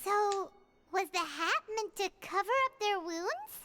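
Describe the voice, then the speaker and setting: A woman's voice: very high voice, lively intonation, a little slow, bright, forceful tone, heavily acted. A woman voicing a naive, childlike little floating fairy in a video game, talking about herself in the third person. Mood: curious. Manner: speaks of self in the third person. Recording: game music behind the voice.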